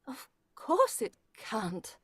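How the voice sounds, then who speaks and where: A woman's voice: fairly high pitch, wildly swooping pitch, very slow, fairly bright tone, breathy edge, dry recording, heavily acted. A woman playing a fretful, deferential household servant, theatrical, in an old-time radio horror play. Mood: concerned.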